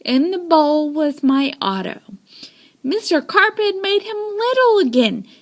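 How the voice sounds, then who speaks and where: Slow, high-voiced woman reading a children's story. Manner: expressive, dramatic storytelling. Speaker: storybook reader. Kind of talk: children's story read-aloud.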